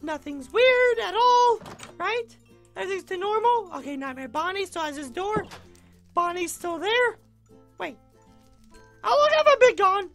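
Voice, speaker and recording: A woman voicing an excitable, whiny animatronic ballerina in a game roleplay, dramatic and easily flustered. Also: very high voice, a little slow, long pauses, soft background music, heavily acted. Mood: chatty. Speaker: video channel character host. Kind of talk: Minecraft roleplay video acting.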